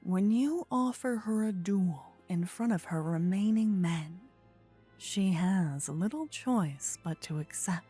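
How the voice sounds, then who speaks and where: A woman narrating a scripted second-person fantasy story in a soft, whispery ASMR style with measured delivery. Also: mid-range voice, animated, faint music behind. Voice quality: breathy, whispery. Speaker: narrator and voice actor.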